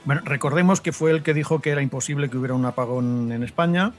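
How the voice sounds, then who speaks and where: A man's voice: on the high side, quick pace, over music. A man from Spain co-hosting a casual radio show, easygoing and joking. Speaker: radio co-host.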